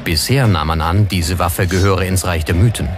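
A man's voice: deep voice, moderate pace. A man reading scripted documentary narration as the narrator. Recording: music behind the voice.

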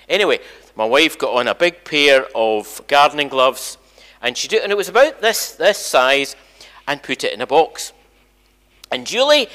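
Bright-voiced older Scottish minister in echoey church. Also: male voice, mid-range voice. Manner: chatty, animated, addressing the congregation. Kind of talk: informal all-age church talk, storytelling. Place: large church sanctuary, indoors. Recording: echoey church hall, long reverb.

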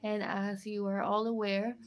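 A woman's voice: mid-range voice, flat delivery, unhurried. A woman panelist giving closing remarks in simple English with small grammar slips, recorded on a conference stage. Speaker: ambassador panelist.

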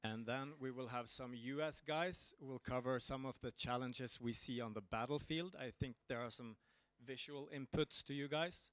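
A man's voice: mid-range voice, normal speed, a bit bright, no echo. A man in his thirties with a Norwegian accent, speaking English as he informally outlines a conference session. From Norway. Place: conference room before an audience. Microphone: handheld microphone held close.

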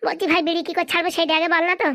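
A woman's voice: very high voice, level tone, racing, pushed and bright, no echo, studio quality. A woman voicing a timid, gullible village boy who stammers and whines nervously. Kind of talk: comic cartoon voice acting.

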